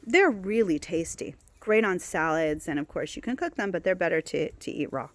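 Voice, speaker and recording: A woman's voice: lowish voice, expressive, normal speed. A woman guiding a casual outdoor plant walk, talking in a chatty way with many fillers and restarts, recorded on a handheld phone in a garden. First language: not Hebrew. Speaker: herbal plant walk guide.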